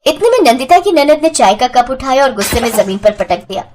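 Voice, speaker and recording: A woman's voice: high-pitched, very animated, brisk, a little mellow, a little hiss or hum. A woman narrating a scripted family moral story.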